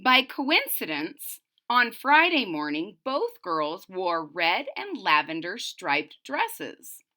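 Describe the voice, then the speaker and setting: A woman's voice: mid-range voice, sing-song, slow, hardly any pauses, sharp tone. A woman reading a children's storybook aloud expressively and explaining it to young listeners in asides. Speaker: storybook reader.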